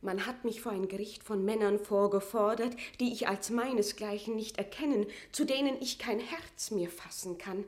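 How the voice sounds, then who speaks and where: A woman's voice: mid-range voice, normal speed, hardly any pauses, lightly acted. An actress playing a proud, aggrieved imprisoned queen, dignified and imperious, with formal declamatory diction in a classical German verse radio drama. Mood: proud, pleading.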